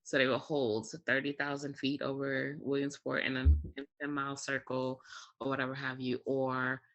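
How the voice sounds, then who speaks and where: Bright-voiced air traffic controller explaining the job. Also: medium pitch, level tone, normal speed, muffled recording. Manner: explains step by step with examples, frequent "you know" and "like". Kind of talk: casual explanatory interview conversation.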